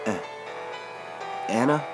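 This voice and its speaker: A man voicing a calm woman emergency dispatcher. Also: slow pace, lightly acted. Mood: frightened pleading. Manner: calm, coaxing questions. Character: female police emergency dispatcher, steady under pressure. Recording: music bed underneath. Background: background music.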